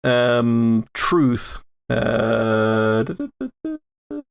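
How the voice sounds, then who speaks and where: A man narrating a tabletop roleplaying game conversationally as game master, pausing to think as he goes. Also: mid-range voice, wildly swooping pitch, vocal fry, clean tone, no echo.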